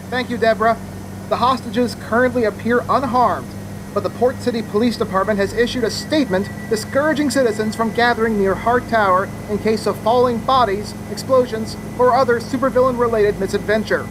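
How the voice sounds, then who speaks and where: A woman's voice: a little high, natural voice. Woman playing a male helicopter news reporter. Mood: newscast. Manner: brisk broadcast reporting, rising in panic. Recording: noisy helicopter ambience. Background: helicopter and engine noise.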